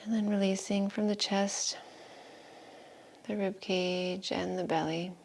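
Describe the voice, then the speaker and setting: A woman yoga teacher giving guided meditation and breathing instructions in an unhurried, soothing manner with long pauses. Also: mid-range voice, fairly even intonation, vocal fry, a little mellow, a little hiss or hum. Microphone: live video call stream.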